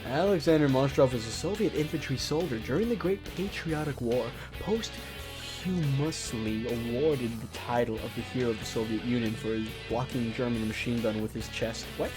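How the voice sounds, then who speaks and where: A woman with an exceptionally low voice hosts a casual let's-play. She moves between playful asides and narrating a visual novel out loud. Guitar music plays clearly behind her. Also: normal speed. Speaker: host of a gaming show. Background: guitar game music.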